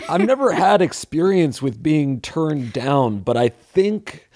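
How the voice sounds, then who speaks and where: A man's voice: a little high, sing-song, slow, a little mellow, dry recording, studio quality, full character voice. A man playing a cocky, defensive adventurer, improvising offhand comedy banter as a podcast co-host.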